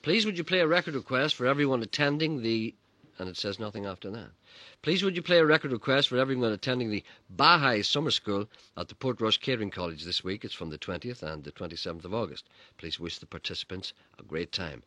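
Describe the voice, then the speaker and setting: A middle-aged man hosting a radio show, chatting playfully with deadpan humor and reading out listener messages. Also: on the low side, quick pace, few pauses, no echo. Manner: playful deadpan teasing, spins tall tales. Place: radio studio.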